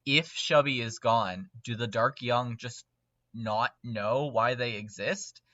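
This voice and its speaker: A man chatting casually and excitably as a fan on a podcast, with an emphatic, animated manner. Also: mid-range voice, dry recording, muffled recording. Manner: animated, emphatic, quick to laugh. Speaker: podcast panelist.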